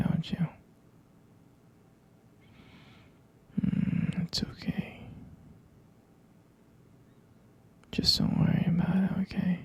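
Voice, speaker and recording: A man playing a gentle, sleepy half-human vampire boyfriend in an ASMR roleplay, murmuring hesitant, tender reassurance close to the mic in a soft, breathy whisper. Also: fairly high pitch, full character voice.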